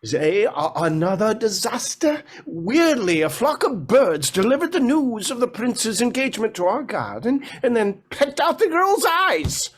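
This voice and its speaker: A man's voice: extremely high pitch, sing-song, unhurried, hardly any pauses, full character voice. A man acting a harried, henpecked lord and father in a fairy-tale stage play, flustered and theatrical.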